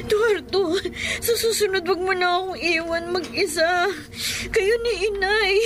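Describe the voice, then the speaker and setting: An actress playing a terrified young pregnant wife in a horror radio drama, tearful and trembling with gasping breaths. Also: female voice, very high voice, measured pace, over music. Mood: tearful dread.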